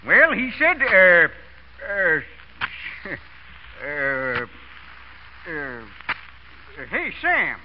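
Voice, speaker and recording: An actor playing a folksy, blustering, loyal American Westerner in a radio comedy, with a Western accent and a habit of repeating words. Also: male voice, sits high, wildly swooping pitch, very slow, pauses often, full character voice. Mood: folksy, flustered. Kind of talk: 1930s-40s radio comedy acting. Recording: muffled, hissy old radio recording.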